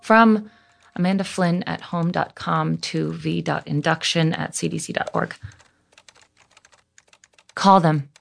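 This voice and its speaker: A woman's voice: low voice, steady tone, slow pace, creaky, long pauses, slight background noise, full character voice. An actress playing a defiant, determined survivor reading out her emails in a firm, blunt way for an audio drama.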